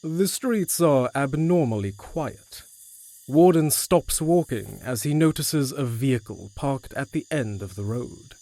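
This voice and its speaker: A man's voice: mid-range voice, expressive, a little slow, mellow, no echo. Guy narrating an audio drama, mid voice, scripted storytelling.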